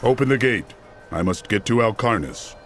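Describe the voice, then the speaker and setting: An actor voicing a stern, resolute holy warrior hero in a game. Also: male voice, lowish voice, animated, normal speed, soft background music, natural voice. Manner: stern, gravelly, solemn. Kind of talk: game cutscene acting and narration.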